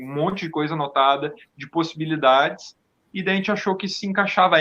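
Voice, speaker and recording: A man chatting casually as an interview guest, halting and repeating words as he goes. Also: on the high side, fairly bright tone. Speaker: podcast guest, audio drama maker. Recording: dull, lo-fi sound.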